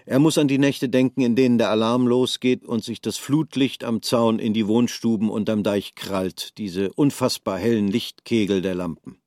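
A man narrating a radio drama in a measured storytelling manner. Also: fairly low pitch, steady tone, darkish tone, dry recording, clean studio sound.

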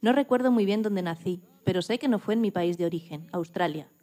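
A woman's voice: medium pitch, a little fast, warm tone, soft background music. A woman reading a scripted first-person story as a contributor to a radio programme.

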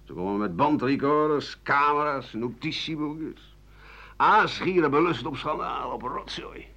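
An actor playing a bitter, sardonic old doctor-writer, grumbling theatrically through a radio play monologue. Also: male voice, a little high, measured pace, bright, forceful tone, full character voice. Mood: bitter, weary. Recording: muffled old radio broadcast recording.

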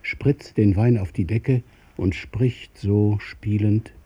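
A man narrating a radio play in a measured, literary way. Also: fairly low pitch, warm tone, breathy edge, highs cut off.

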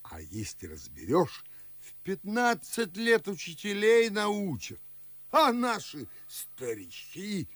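Husky, pompous old Moscow nobleman, swooping pitch. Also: no room sound, heavily acted. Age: older. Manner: declamatory, theatrical, old-fashioned. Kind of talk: radio play acting in verse.

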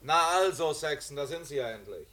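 A male actor playing an impatient, businesslike private detective who narrates a 1950s German radio drama in a deliberate, clipped way. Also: fairly high pitch, lively intonation, crowd noise, close to an everyday voice. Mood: urgent, impatient. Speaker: narrator and lead character.

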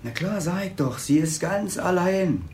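An actor playing a gossipy, teasing small-town police officer in a naturalistic radio drama, speaking colloquially. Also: male voice, high-pitched, expressive, a little slow, a little mellow, a little hiss or hum. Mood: skeptical.

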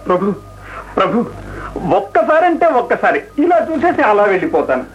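Very high-voiced chattering servant, fawning, mock-pleading, radio drama, muffled noisy old recording. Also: male voice, wildly swooping pitch, normal speed. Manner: exclamatory, theatrical, mock-pleading. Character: garrulous fawning comic male attendant to a prince. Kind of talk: radio play acting.

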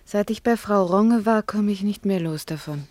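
An actress in a German radio play playing a worried, earnest doctor's wife with a measured, gently reproachful manner. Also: female voice, mid-range voice, no room sound, close to an everyday voice. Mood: worried, pleading.

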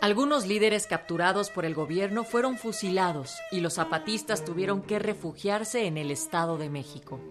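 Female narrator, medium pitch, formal, emphatic, historical radio drama, music clearly behind. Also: moderate pace, few pauses.

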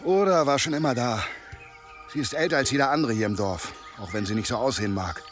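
A man's voice: medium pitch, quick pace, soft background music, lightly acted. An actor playing a down-to-earth, sceptical village man in an audio drama, wry and matter-of-fact. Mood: explanatory. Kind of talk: German audio drama acting.

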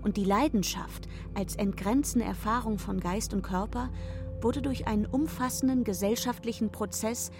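A woman's voice: mid-range voice, moderate pace. A woman reading a scripted, reflective text. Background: music.

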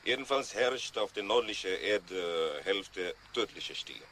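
Very deep, bright-voiced newsreader. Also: male voice, lively intonation, slight background noise. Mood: grave, unsettled. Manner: hesitant, halting. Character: grave, hesitant radio newsreader with urgent news. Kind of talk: radio drama news bulletin.

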